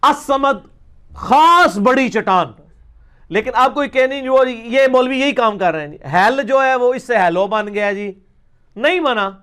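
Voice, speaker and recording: High male voice, Pakistani Punjabi accent, religious scholar, didactic, colloquial, emphatic, informal question-and-answer style. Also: expressive, sharp tone, clear, resonant tone. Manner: didactic, colloquial, emphatic, often checking the listener follows.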